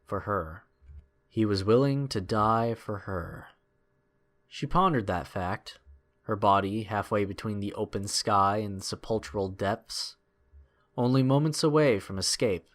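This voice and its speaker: A man narrating a scripted adventure story in a measured, expressive, dramatic storytelling style. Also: fairly low pitch.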